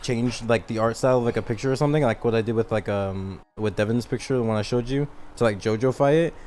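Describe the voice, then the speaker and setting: Very fast-talking young man, slangy. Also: medium pitch, a little mellow, a little hiss or hum. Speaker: podcast co-host. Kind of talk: casual podcast banter.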